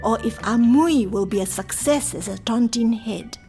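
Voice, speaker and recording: A woman serves as narrator of a radio play, addressing listeners in a scripted storytelling style. Her voice is fairly high, and music plays clearly behind her. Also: normal speed, mellow.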